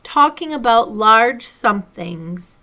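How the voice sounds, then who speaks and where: A woman voicing a dreamy, simple male bear from a storybook, with a wistful and halting way of talking. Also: fairly high pitch, lively intonation, sharp tone, full character voice.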